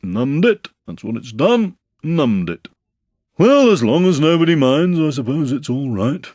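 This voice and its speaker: Guy putting on a mopey old donkey, slow and sorry for himself, raised a bit higher than his own voice, sing-song drooping lines, dry close sound. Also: sing-song, a little mellow, no room sound. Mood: glum complaining. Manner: slow, mournful complaining. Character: gloomy old male donkey, self-pitying.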